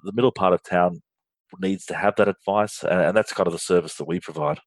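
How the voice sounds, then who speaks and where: A man's voice: sits low, fairly even intonation, a little fast, no room sound. An Australian man, a guest in a business interview, explaining with frequent ums and restarts. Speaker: guest, finance outsourcing firm leader.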